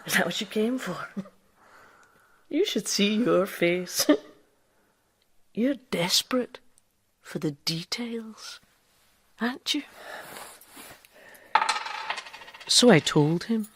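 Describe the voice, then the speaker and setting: Swaggering, defiant woman, swooping pitch. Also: animated, slow pace, pauses often. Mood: teasing, intimate. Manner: teasing, theatrical, dramatic shifts to breathy hushes. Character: defiant, swaggering woman who lived as a man. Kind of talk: radio drama acting.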